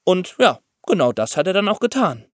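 A man speaks casually as a co-host on a podcast. His voice sits a little high for a man and moves with lots of melodic variation. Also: big pitch swings, moderate pace. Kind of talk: casual conversation.

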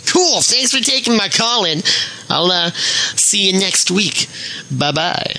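A man's voice: high-pitched, lively intonation, takes it slowly, creaky, pushed and bright. A man leaving a recorded call-in message for a podcast in a mock-pompous, self-promoting manner. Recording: slightly noisy amateur voice recording.

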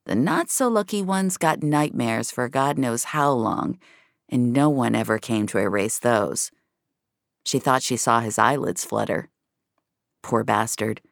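A woman's voice: rumbling low, animated, unhurried, gravelly, no echo, clean studio sound. A woman narrating scripted science-fiction drama with a wry, noir storytelling manner.

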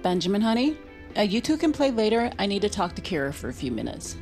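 A woman's voice: mid-range voice, sing-song, fast, over music. A woman playing a concerned, persistent mother in an audio drama. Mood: concerned, coaxing.

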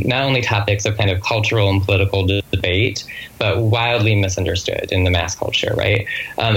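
An author speaking as an interview guest, thoughtful and halting, often reworking phrases in a reflective conversational way. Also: sits low, low-quality recording.

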